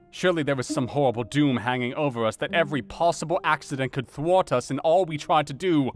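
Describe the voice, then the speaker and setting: A man's voice: mid-range voice, lightly acted. An actor playing an earnest, anxious doctor, narrating his diary in a grave, brooding, theatrical manner for an audio drama. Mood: anxious dismay. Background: plucked guitar music.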